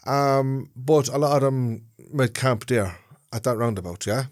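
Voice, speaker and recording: A man's voice: medium pitch, unhurried, darkish tone. A man with a Dublin Irish accent, co-hosting a podcast, telling stories in a rambling, casual way and checking in with his listener. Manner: rambling storyteller, checks in with "yeah?". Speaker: podcast co-host. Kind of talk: casual chat.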